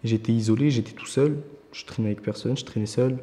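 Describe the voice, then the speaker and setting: A man from southern France speaks bluntly in a documentary interview. He talks very fast at a mid pitch. A long reverb follows his words. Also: warm tone. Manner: short, blunt statements. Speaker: trainee interviewee. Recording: reverberant room.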